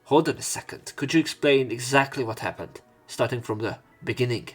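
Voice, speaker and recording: A man doing a let's play, reading a visual novel aloud with expressive dialogue and casual, blunt commentary. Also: mid-range voice, fairly quick, slightly rough. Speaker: let's player. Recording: game music behind voice. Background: game music.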